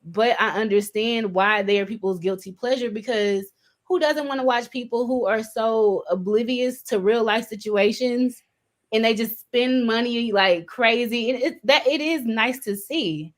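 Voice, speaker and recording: Woman cohost on a live stream, kinda high voice, chatty and candid. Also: a little slow. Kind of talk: casual live-stream chat.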